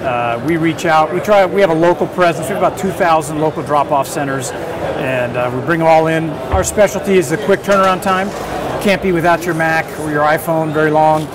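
A man's voice: fairly high pitch, brisk, noisy background. A man in his forties, a company representative, chatting casually in an interview at a busy indoor trade show. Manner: easygoing, chatty with fillers and restarts. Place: busy indoor expo hall. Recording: loud crowd chatter behind.

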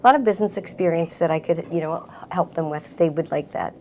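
A woman's voice: low voice, fast talker, a little mellow, slight background noise. A middle-aged woman, a salon owner, talking conversationally as a guest in a talk-show interview. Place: small TV talk-show set indoors.

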